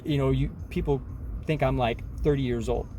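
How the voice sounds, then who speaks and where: Man in his forties, self-help vlogger, mellow voice, talks in emphatic loops with faint noise behind. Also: medium pitch, steady tone, normal speed. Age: 40s. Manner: rambling, many restarts, emphatic, says "man" often. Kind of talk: rambling casual monologue.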